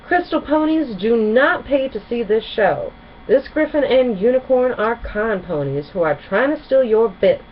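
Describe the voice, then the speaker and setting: A woman's voice: a little high, expressive, measured pace, dull audio, slight background noise, pitched above the performer's own voice. A woman voicing a stubborn, indignant young female pony leader, firm and declarative, in a storybook.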